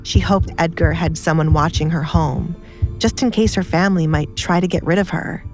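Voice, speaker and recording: A woman's voice: lowish voice, steady tone, moderate pace. A woman reading scripted story narration as an audiobook narrator. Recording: music behind the voice.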